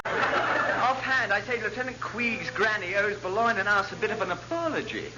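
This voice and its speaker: Man as a high, silly-ass naval lieutenant. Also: normal speed, lightly acted. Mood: amused. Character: silly-ass, breezily innocent junior naval officer, a man. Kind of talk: classic British radio comedy acting. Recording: muffled old radio recording, loud noise.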